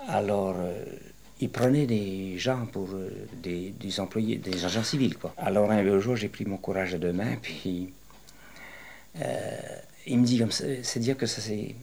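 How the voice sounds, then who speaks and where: A retired man in his late sixties from western France, telling his life story in an interview, rambling and hesitant with many pauses. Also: fairly low pitch, a little mellow. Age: 60s. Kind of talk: oral history interview.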